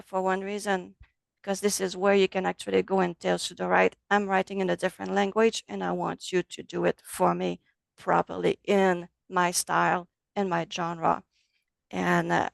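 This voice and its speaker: A woman with a French accent, a native French speaker, teaching a relaxed online software class over a video call. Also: fairly low pitch, gravelly, no room sound. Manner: friendly, loose, switches between English and French. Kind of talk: casual online class walkthrough.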